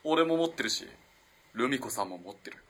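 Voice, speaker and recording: An actor playing an earnest, lovestruck young student in an early twentieth-century Japanese radio play, halting and moving between hushed and impassioned delivery. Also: male voice, mid-range voice, animated, slightly rough. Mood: earnest, reasoning. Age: young adult. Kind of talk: dramatic radio play acting.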